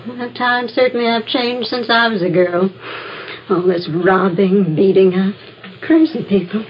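A woman's voice: medium pitch, measured pace, natural voice. An actress playing a doting older American mother who fusses and nags in a homely way, in 1950s radio drama style. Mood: homely, chatty. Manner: fussing, nagging, homely. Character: fussing, doting older mother of a police detective. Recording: muffled, noisy old radio recording.

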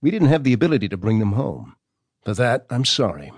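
Stern official doctor type, formal and measured, kinda condescending, audio drama, dry studio sound. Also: male voice, mid-range voice, big pitch swings, breathy edge, dry recording. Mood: stern, reluctant. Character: stern, formal male government doctor answering by email. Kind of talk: audio drama acting, reading out correspondence.

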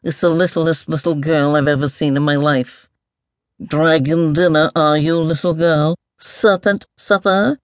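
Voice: medium pitch, steady tone, a little slow, no echo, close to an everyday voice. A storyteller of unclear sex voicing a grumpy, fussy, near-sighted giant mole that mumbles and repeats itself in a pompous, liquid mutter. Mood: muttering, curious. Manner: repetitive, indignant muttering to itself.

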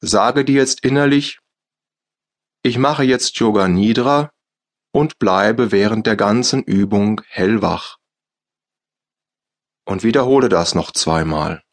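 A man with a German accent guiding a yoga nidra relaxation in slow, soft, calm instructions with long pauses. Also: lowish voice. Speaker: meditation guide. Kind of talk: guided yoga nidra meditation.

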